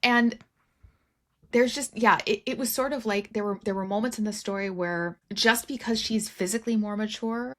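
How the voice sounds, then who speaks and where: A woman podcast host talks casually and frankly, with a mid-pitched voice. She peppers sentences with "like", swears lightly and acts out imagined lines in a joking way. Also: moderate pace. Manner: animated quoting and role-playing of imagined dialogue, frank and joking; uses "like" frequently and swears casually. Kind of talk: casual book discussion.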